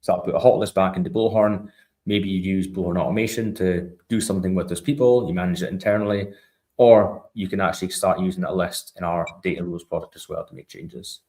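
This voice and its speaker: A man with a deep, low-pitched voice talks through a software product as a guest expert. His delivery is informal and explanatory, often checking in with short tag questions. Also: steady tone, a little fast. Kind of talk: conversational product walkthrough.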